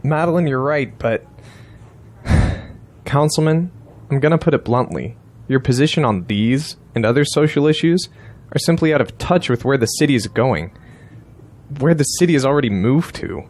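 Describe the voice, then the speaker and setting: An actor playing a confident, persuasive campaign strategist, courteous yet blunt, in an audio drama. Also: male voice, medium pitch, lively intonation, moderate pace, pauses often, darkish tone, close to an everyday voice.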